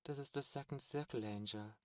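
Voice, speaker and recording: A man's voice: medium pitch, fairly quick, darkish tone, no room sound, lightly acted. A man voicing a suave, cold male demon prince in an ASMR roleplay, speaking in a silky, condescending and menacing way. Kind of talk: ASMR roleplay audio drama.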